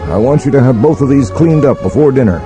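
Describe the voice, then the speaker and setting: An actor playing a practical, plain-spoken farmer and guardian giving brusque orders in an audio storybook. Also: male voice, medium pitch, expressive, fast, over music, lightly acted. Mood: brisk. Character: gruff, practical older male moisture farmer guardian.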